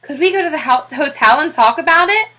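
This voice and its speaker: A woman's voice: sits high, expressive, quick pace, sharp tone, voice pushed up. A woman voicing an earnest, polite, easily amazed family man in a children's storybook.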